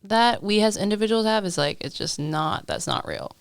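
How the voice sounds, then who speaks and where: A woman of twenty-five, a guest musician, musing reflectively in a casual podcast chat, trailing off in thought, on a studio boom mic. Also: normal speed, gravelly, clean tone, no echo. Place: small decorated podcast studio. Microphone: studio boom mic with headphones.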